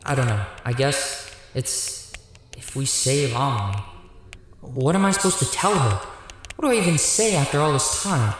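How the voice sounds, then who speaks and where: A man acts as an earnest, burdened male hero in a radio drama. His mid-pitched voice is serious and sincere, drenched in echo with a long reverb tail. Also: animated, moderate pace, slight background noise, close to an everyday voice. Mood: guilty, troubled. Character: earnest, guilt-ridden male leader of heroes.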